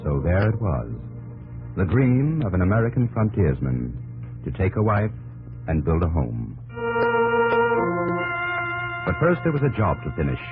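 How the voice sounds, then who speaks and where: A low male narrator delivers solemn, theatrical lines with lively swings of pitch. It is an old 1950s radio broadcast with loud music behind him. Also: a little slow, pauses often, poor audio.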